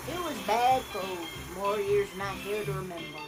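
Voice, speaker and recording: A woman playing a wise old granny pony with an American Southern accent, telling things in a homespun, gently scolding way for a cartoon comic dub. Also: medium pitch, lively intonation, moderate pace, close to an everyday voice. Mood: wistful. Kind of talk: fan comic dub character acting. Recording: music playing behind the voice.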